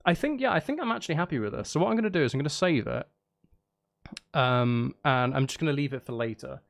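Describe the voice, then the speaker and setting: A man's voice: medium pitch, lively intonation, fast, vocal fry. A man chatting casually on a live stream, rambling and thinking aloud in a hesitant way. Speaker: live streamer composing game music.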